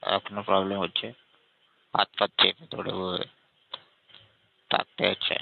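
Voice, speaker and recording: A man with a gravelly voice chatting casually and intimately, in a complaining, coaxing way, over a tinny phone line. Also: low voice, completely flat delivery, unhurried.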